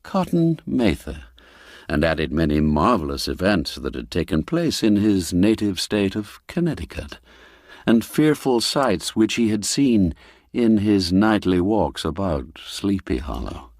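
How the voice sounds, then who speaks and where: A man telling an old ghost story with an unhurried, theatrical delivery. His voice sits low yet swoops widely as he lingers over the darker words. The sound is dry and close, with no room around it. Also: very animated, a little mellow, dry recording. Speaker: narrator. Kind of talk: dramatic storytelling narration.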